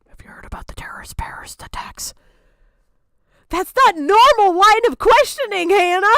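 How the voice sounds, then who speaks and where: A woman's voice: very high-pitched, a bit bright, dry recording, clean studio sound. A woman streaming unscripted reaction commentary, exasperated, sarcastic and prone to swearing.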